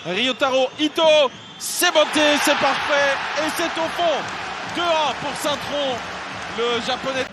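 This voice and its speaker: A man giving live play-by-play football commentary, calm in analysis and shouting at goals. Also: extremely high pitch, measured pace, a loud crowd. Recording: loud stadium noise behind.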